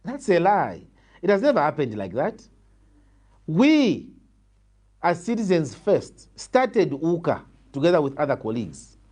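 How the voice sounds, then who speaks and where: Zambian man, animated and emphatic, higher-pitched. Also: measured pace, slightly rough. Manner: emphatic, repeats phrases for stress. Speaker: opposition party politician, guest. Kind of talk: political interview answers, argumentative.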